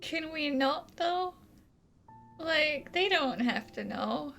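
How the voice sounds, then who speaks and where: Drawling, gravelly-edged sardonic young woman. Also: high voice, lively intonation, takes it slowly, a bit bright, faint music behind, full character voice. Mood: reluctant. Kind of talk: video game voice acting.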